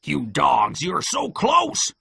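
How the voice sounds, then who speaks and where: A man voicing a furious, bullying blind old pirate in an audiobook adventure, shouting orders in a strained, gravelly voice. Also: a little high, expressive, moderate pace, slight background noise, voice pushed up, full character voice. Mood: scornful desperation.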